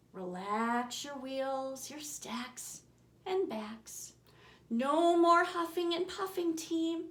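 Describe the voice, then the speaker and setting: A woman reading a rhyming children's bedtime storybook aloud in an expressive, theatrical way, with dramatic shifts in volume. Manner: expressive, theatrical, swinging from booming to hushed whispers; slow, deliberate, rhythmic delivery of rhymes. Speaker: author reading her own picture book aloud. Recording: roomy, echoing room sound.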